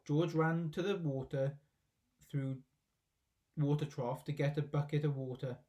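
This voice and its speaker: Higher-pitched man reading a children's story. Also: fairly even intonation, a little slow, mellow, muffled recording. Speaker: story reader. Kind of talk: expressive children's story narration.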